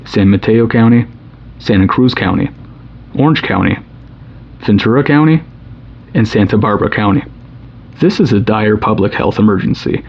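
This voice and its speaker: Grave official, creaky lowish voice, formal emergency address, authoritative, muffled broadcast sound. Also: male voice. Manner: solemn, formal. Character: grave, authoritative male state governor.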